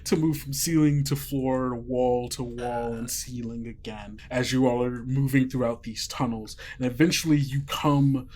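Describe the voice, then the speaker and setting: Unhurried man hosting tabletop play. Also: medium pitch, steady tone, darkish tone. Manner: informal, halting, descriptive. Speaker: podcast host and game master. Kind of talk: narrating and hosting an actual-play tabletop podcast.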